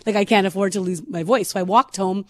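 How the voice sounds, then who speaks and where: A woman co-hosting a morning radio show in casual chat, her voice hoarse and scratchy from overuse, laughing often as she talks. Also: medium pitch, fast, dry recording. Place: radio studio. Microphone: studio microphone.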